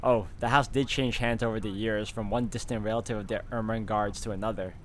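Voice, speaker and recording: A man's voice: lowish voice, fairly even intonation, fairly quick, fairly bright tone, a little hiss or hum. A man hosting a game walkthrough, reading story text aloud and commenting casually.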